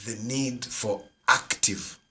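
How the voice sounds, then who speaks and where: A man co-hosting a casual podcast. He tells stories in an animated way, laughs often and mixes Swahili into his English. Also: medium pitch, slow pace. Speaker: podcast co-host. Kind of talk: casual chat.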